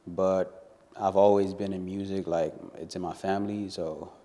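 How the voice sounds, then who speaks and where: A man's voice: sits low, fairly even intonation, normal speed, dark tone, in a large hall. A young man from Ghana, a guest musician, chatting casually in a laid-back, mumbled way with lots of slang. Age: young adult. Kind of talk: casual interview chat.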